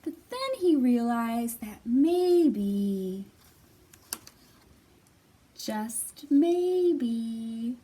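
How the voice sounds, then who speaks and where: High-voiced woman reading unhurriedly to small children. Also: drawn-out words, pauses often. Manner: expressive, simple instructions addressed to small children. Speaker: children's librarian and storytime host. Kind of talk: children's storytime read-alouds and action rhymes. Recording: distant microphone.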